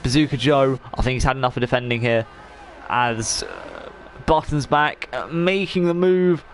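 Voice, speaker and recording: A man's voice: medium pitch, moderate pace, slight background noise. A man commentating a sim racing league race in a chatty, rambling way, while he is losing his voice. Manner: chatty, rambling, frequent fillers and restarts.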